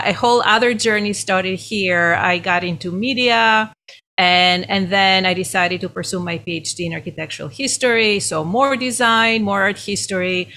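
A middle-aged woman from Greece with a Greek accent, a guest professor telling her story in a podcast interview in a thoughtful, measured way. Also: mid-range voice, bright, forceful tone. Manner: thoughtful, measured, laughs now and then.

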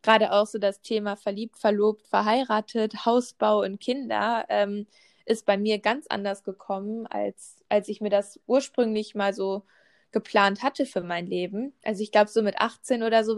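A pregnant woman in her twenties in a casual chat, talking with lots of fillers and restarts. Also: mid-range voice. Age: 20s. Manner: chatty, many fillers and restarts.

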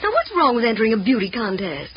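Confident teen chum, high, animated, chirpy, casual, vintage radio drama, muffled sound. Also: female voice, quick pace. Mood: breezy. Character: breezy, confident teenage girl chum. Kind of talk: 1940s radio drama acting. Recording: dull, noisy 1940 radio broadcast.

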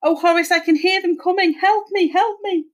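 A woman's voice: sits high, steady tone, a little fast, pitched above the performer's own voice, natural voice. A woman voicing the tearful ghost of a dead wife, wailing and imploring as in a spooky story. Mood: afraid, pleading.

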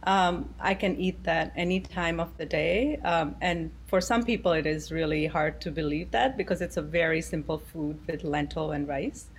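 A woman's voice: deep voice, normal speed, few pauses, slight background noise. A middle-aged woman from India, answering interview questions thoughtfully over a video call with frequent ums. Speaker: guest.

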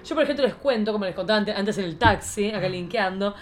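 A woman in her forties with an Argentine accent, hosting a casual comic chat in an energetic manner. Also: medium pitch, fast talker, soft background music. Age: 40s. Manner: animated, self-described as very energetic. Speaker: podcast host.

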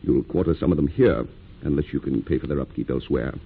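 An actor playing a haughty, menacing army captain in an old-time radio drama, curt and imperious. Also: male voice, a bass voice, fast talker, gravelly, slightly rough, heavily acted. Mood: threatening. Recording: muffled, noisy old radio recording.

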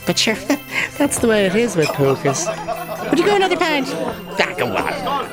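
Radio comedy horror: amiable, tipsy old Irish puka rabbit, rambling and repeating himself, very lively intonation. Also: male voice, very high voice, unhurried, over loud music, a loud crowd, full character voice. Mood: wry storytelling. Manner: hesitant, rambling, repeats words. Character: tipsy, amiable old man turned giant rabbit spirit. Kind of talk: comic horror radio play acting.

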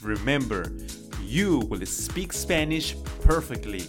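A man hosting a podcast lesson, reading each line in Spanish and then in English, slow, earnest and encouraging. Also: medium pitch, very animated, music in the background. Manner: slow, earnest and encouraging, with emphatic numbered tips. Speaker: language teacher and podcast host.

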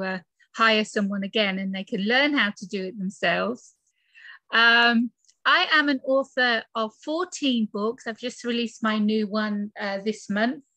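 British lady in her 40s, guest chatting from her bedroom, crisp bright voice, lots of ums. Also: medium pitch, no room sound. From United Kingdom. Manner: chatty, laughs often, frequent fillers. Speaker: guest author and podcaster. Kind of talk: casual interview chat. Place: bedroom home office.

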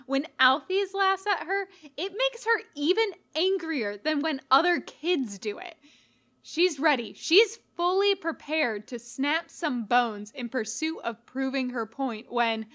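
A woman giving an expressive narration of a scripted story, as an audiobook-style reader. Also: sits high. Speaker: podfic reader.

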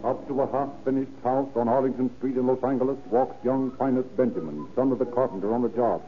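A man's voice: mid-range voice, steady tone, quick pace. A man announcing and narrating in an emphatic, formal, scripted 1930s radio style. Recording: tinny 1930s radio recording with music.

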